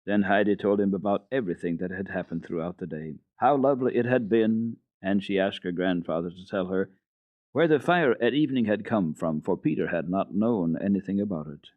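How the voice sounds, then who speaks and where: A man giving an expressive dramatic reading of a children's classic as a radio storyteller, from an old radio recording. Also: low-pitched, fairly quick, no echo, phone-quality sound.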